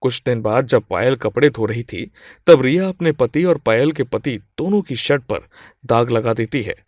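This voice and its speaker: A man's voice: medium pitch, animated, normal speed, darkish tone, dry recording, clean studio sound. A man reading scripted moral-story narration as the storyteller.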